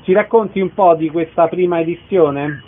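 A male radio interviewer with a voice a little higher than average. He speaks as a programme host. The audio is narrow and phone-like. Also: normal speed, a little hiss or hum.

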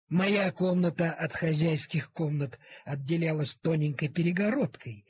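A man reading a literary animal story aloud in a theatrical, deliberate manner with dramatic pauses. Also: high-pitched, husky. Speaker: storyteller, audiobook reader. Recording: cassette transfer of audio play.

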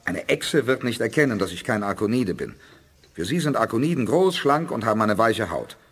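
A man playing a confident, calculating spaceship commander with a husky voice in a science fiction radio drama. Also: on the low side, lively intonation, a little fast. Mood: assured. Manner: assured, explanatory.

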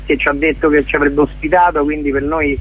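A man with a fairly high voice speaks as a guest in a casual radio interview. He talks haltingly, searching for words as he explains himself. The sound is somewhat dull and lo-fi. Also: little pitch movement, breathy edge. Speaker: theatre maker, interview guest.

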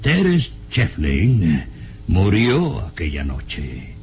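An actor playing a grave, shaken professor who recounts dark secrets in a dramatic, halting radionovela manner. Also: male voice, wildly swooping pitch, faint music behind, exaggerated voice. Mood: somber, dramatic. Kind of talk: old radio serial acting. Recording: noisy old radio serial recording, rumble.

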